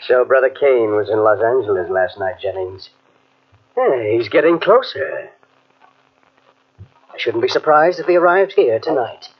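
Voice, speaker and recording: An actor playing a calm, scheming master of the house, condescending and coolly commanding, with a husky voice in 1940s radio style. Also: male voice, medium pitch, takes it slowly, pauses often. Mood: amused. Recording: dull, lo-fi old radio cassette recording.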